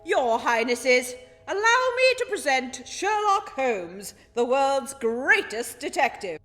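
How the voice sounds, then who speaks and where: A woman's voice: high-pitched, very animated, slow, a bit bright, exaggerated voice. A woman playing a detective's male Victorian companion, declaiming ceremoniously in a radio play. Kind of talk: scripted radio play acting. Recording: reverberant hall under clear music.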